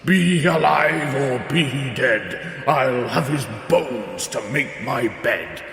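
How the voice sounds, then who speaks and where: A man voicing a huge, slow, dim-witted male giant with a deep, bumbling delivery. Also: animated, fairly bright tone, reverberant, in a large hall. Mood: bellowing.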